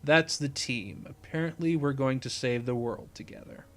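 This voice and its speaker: A man voicing a cool, calculating criminal in a story, with a controlled, smug, measured drawl. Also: mid-range voice, lively intonation, close to an everyday voice. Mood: dry, smug.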